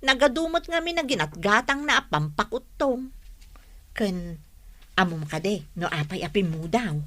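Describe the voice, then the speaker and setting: A woman playing a thrifty, cheerful younger married woman in an Ilocano radio drama, talking in an expressive, frank, teasing way. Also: mid-range voice, normal speed. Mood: gossipy, amused.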